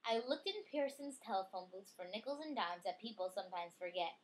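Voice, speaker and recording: A woman reading a picture book aloud to a child in an expressive way, with chatty, coaxing questions for the young listener. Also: mid-range voice, fairly quick, a little hiss or hum, low-quality recording.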